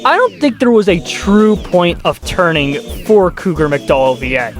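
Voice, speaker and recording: Casting: exasperated, sarcastic YouTube commentator, casual and sweary, very lively intonation. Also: mid-range voice, normal speed, faint music behind. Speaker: YouTube video essayist. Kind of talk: casual commentary with scripted narration.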